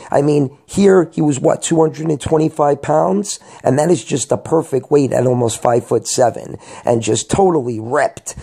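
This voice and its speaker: Hoarse male vlogger, gravelly, mellow tone, emphatic casual fan commentary. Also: mid-range voice. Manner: emphatic, profane, colloquial. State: hoarse from a cold. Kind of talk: enthusiastic video commentary.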